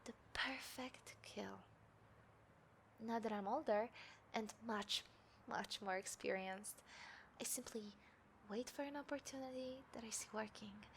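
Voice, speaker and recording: Woman acting a smug, high-voiced female serial killer. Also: a little hiss or hum. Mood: quiet menace. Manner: smug, menacing, deliberate, dropping into breathy whispers. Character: taunting, confident female serial killer hunting a detective. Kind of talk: scripted audio roleplay addressed to the listener. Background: city ambience sound effects.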